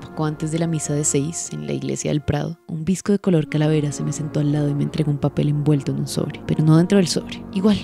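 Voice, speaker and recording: Low, gravelly, cold vengeful Colombian woman. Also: fairly even intonation, over music. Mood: taunting storyteller. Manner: taunting, deliberate, theatrical. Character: cold, vengeful señora savouring her long-awaited revenge. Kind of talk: radio drama acting.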